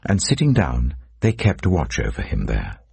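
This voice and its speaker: A man's voice: extremely low pitch, wildly swooping pitch, normal speed, creaky, a little mellow, slightly rough, no room sound. A man narrating scripture as a scripted, dramatic audiobook reading.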